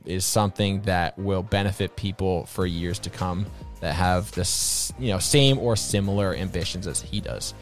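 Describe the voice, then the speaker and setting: A low-voiced man hosts a podcast in an informal, enthusiastic way, full of casual fillers as he interviews his guest. Faint music plays behind him. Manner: enthusiastic and informal, says "dude" and "like" often. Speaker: podcast host.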